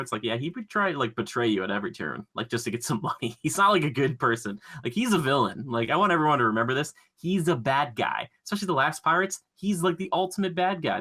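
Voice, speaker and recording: A man's voice: mid-range voice, bright, forceful tone. A man hosting a podcast in a casual, chatty, joking style. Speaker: podcast host.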